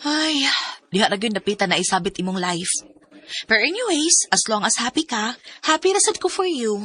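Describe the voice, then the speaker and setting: A woman acting a loyal, frank best friend in a radio drama, chatty and expressive, concerned and supportive. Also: a little high, normal speed, husky.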